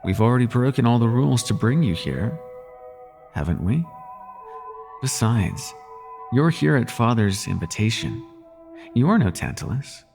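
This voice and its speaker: A man's voice: medium pitch, sing-song, unhurried, creaky, long pauses, darkish tone, soft background music. A man playing a suave, teasing demon prince of nightmares in an intimate audio roleplay, with courtly, lingering delivery. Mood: coaxing.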